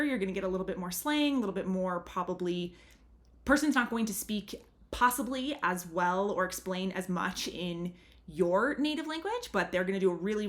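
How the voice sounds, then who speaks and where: An earnest language tutor from the United States talks casually to her viewers. Her voice sits at an everyday middle pitch, and her explanations are friendly and a little rambling. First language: English. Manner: chatty and earnest, leans on "really" and "super". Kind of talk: casual advice talk to camera.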